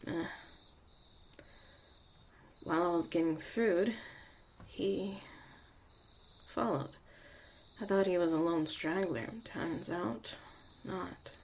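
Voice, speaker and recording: Low, unhurried young witch played by a woman. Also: steady tone, vocal fry, darkish tone, breathy edge, full character voice. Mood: reflective, fond. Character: young witch mother, tough, protective survivor, wry and caring. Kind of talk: intimate ASMR roleplay monologue.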